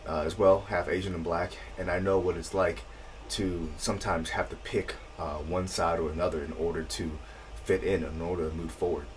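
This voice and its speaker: A man from Portland, Oregon, an actor introducing himself in a sincere, soft-spoken way. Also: low voice, flat delivery, moderate pace, a little hiss or hum. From Portland, Oregon, United States. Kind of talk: casual self-introduction.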